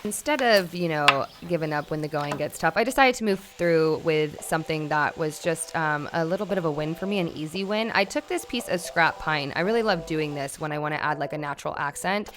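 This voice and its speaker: A young woman vlogging a home makeover, chatting casually and talking to herself excitedly as she works. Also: low voice, a little fast, few pauses, background noise. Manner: chatty and excitable, talks to herself while working. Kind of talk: casual vlog narration and self-talk. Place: room under renovation, indoors. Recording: echoey room, distant mic, music underneath.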